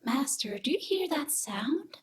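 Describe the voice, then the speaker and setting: A woman playing a timid, devoted young female assistant, soft and deferential, in a sci-fi audio drama. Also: high-pitched, sing-song, unhurried, slight background noise. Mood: anxious.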